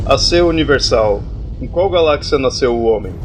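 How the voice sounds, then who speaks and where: A man's voice: medium pitch, animated, moderate pace, background noise, close to an everyday voice. Man as earnest, questioning immortal mind. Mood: curious. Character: curious, anxious immortal disembodied human mind. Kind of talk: audio drama acting.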